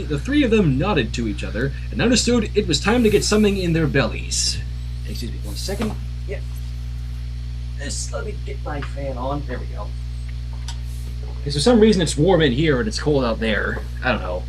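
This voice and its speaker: A man's voice: a little high, big pitch swings, normal speed, soft background music, rough recording. A man reading fan fiction aloud as a narrator, with casual asides.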